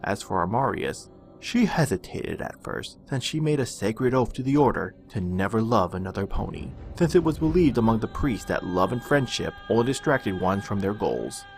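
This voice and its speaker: A man giving a dramatic, measured narration of a fantasy storybook tale. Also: fairly low pitch, sing-song, gravelly, faint music behind.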